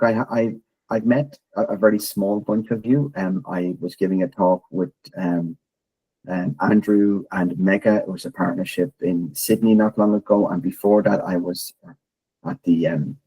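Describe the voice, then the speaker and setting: A man's voice: low-pitched, warm tone, husky, poor audio. A middle-aged Irish man giving a chatty, self-deprecating presentation over a video call. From Ireland. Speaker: guest presenter.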